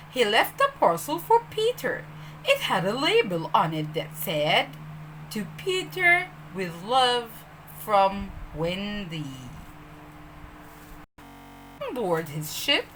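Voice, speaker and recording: Woman, children's storyteller, highly animated pitch, slow measured pace, expressive emphasis, faint background noise. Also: poor audio. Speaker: storyteller, teacher. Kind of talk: scripted children's storybook narration.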